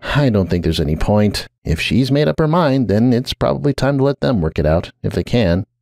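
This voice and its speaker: A man's voice: medium pitch, very animated, a little fast, vocal fry, a little mellow, no room sound, studio quality, pitched above the performer's own voice. A man voicing an anxious, weary male unicorn pony wizard in a hesitant, earnest way for a dramatic story reading. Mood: resigned.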